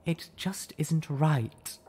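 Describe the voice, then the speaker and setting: Male narrator playing gentle female pony, raised pitch, choked, sorrowful, compassionate, halting storybook delivery. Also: slightly rough, heavily acted. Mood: tearful grief.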